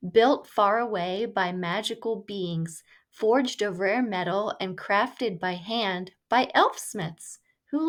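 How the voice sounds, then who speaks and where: A woman reading a rhyming children's story aloud in a measured, deliberate storytelling delivery. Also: mid-range voice. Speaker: storybook reader.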